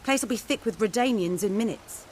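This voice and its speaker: An actress playing a pragmatic female fighter in a fantasy video game, firm and brisk as she takes charge. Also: medium pitch, a little mellow, slight background noise, close to an everyday voice. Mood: firm, urgent. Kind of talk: dramatic video game voice acting.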